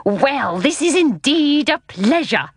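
A woman voicing a jolly, apologetic, round uncle with a loud, cheery, genial delivery in a storybook. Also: high voice, big pitch swings, slow pace, dry recording, clean studio sound, voice pushed up, full character voice. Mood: welcoming. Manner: effusive, genial.

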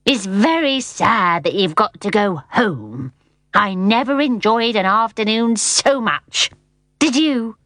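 Elderly storybook gentleman, voiced by a woman: kindly, sentimental, unhurried drawn-out speech, very dry recording. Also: mid-range voice, animated, dry recording. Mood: wistful. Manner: exclamatory, drawn-out words. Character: jolly, kindly withered old uncle, sentimental man.

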